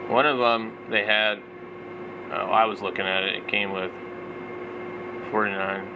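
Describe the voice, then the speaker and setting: A man's voice: on the low side, level tone, measured pace, vocal fry, noisy background. A man in his forties giving casual, off-the-cuff product commentary, rambling and thinking aloud. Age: 40s. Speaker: vlogger, radio hobbyist.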